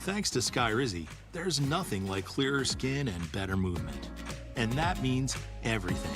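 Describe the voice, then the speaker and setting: A man's voice: mid-range voice, animated, normal speed. A man reading scripted narration for a medicine commercial. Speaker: commercial voice-over announcer. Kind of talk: scripted drug advertisement narration. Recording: music bed behind the voice.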